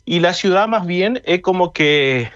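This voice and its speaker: A man from Corrientes with an Argentine accent chats casually as an interview guest, haltingly, often repeating his phrases. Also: high-pitched, lively intonation, fairly bright tone. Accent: Argentine Spanish. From Paso de los Libres, Corrientes, Argentina. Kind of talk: casual chat. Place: remote call into a radio show. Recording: roomy, dull remote call.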